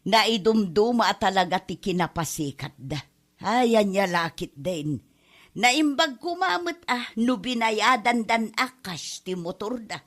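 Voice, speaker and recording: A woman's voice: medium pitch, expressive, measured pace, fairly bright tone. A woman with an Ilocano accent playing a scornful gossip in a comedy drama, full of exclamations.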